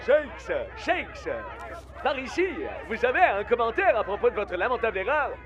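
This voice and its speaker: A man's voice: very high-pitched, animated, normal speed, dull audio, a crowd in the background, background noise. A man playing a pushy, prying press reporter in a cartoon dub.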